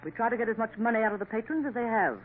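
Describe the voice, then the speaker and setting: An actress playing a sly worldly café woman, high voice. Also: animated, rapid-fire, lightly acted. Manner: coaxing, knowing, sprinkles in French endearments. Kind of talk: 1950s radio drama acting. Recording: tinny old radio broadcast with faint hiss.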